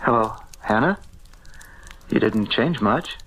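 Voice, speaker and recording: An actor playing an earnest young farmer's son back from sea, speaking haltingly in the style of old-time radio drama. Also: male voice, lowish voice, expressive, a bit bright, heavily acted. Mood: tender. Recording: noisy old radio recording.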